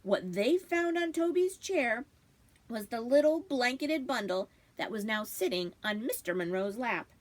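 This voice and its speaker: A woman with a slightly high voice reads a storybook aloud. Her pitch moves in lively swings, and she delivers the tale expressively, as a reader for children would. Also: unhurried. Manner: animated, acting out dialogue. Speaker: librarian reading aloud to children.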